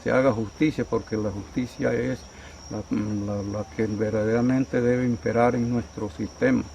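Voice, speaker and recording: A man's voice: medium pitch, flat delivery, moderate pace, vocal fry, darkish tone, a little hiss or hum. A man from the community, earnestly giving a testimony while searching for words. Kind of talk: testimonial interview. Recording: distant mic with faint outdoor ambience.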